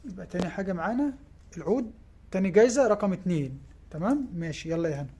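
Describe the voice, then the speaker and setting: A man's voice: high voice, animated, very fast, dark tone, slightly rough. A man with an Egyptian Arabic accent, a fishing tackle shop owner, casually presenting giveaway prizes in his shop and coaxing children along, often checking in with "tamam?".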